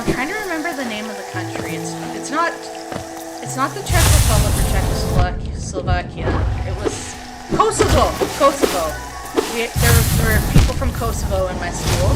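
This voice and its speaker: A woman in her thirties from Canada, chatting casually with her stream viewers in a rambling way, full of fillers. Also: mid-range voice. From Canada (Alberta). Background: loud background music.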